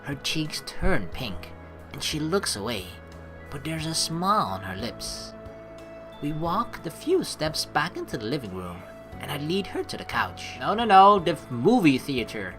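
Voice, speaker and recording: A woman's voice: deep voice, very animated, a little slow. A woman streamer reading a game's text aloud and adding casual, teasing asides of her own. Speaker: let's play streamer. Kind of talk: reading game text aloud with playful commentary. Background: game music.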